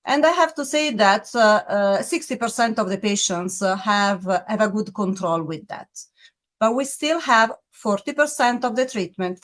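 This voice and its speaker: Italian-accented woman, measured with pauses. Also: medium pitch, a bit bright. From Italy. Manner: measured, with frequent "uh" pauses and searching restarts. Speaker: pharmaceutical medical officer. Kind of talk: interview answers on medicine.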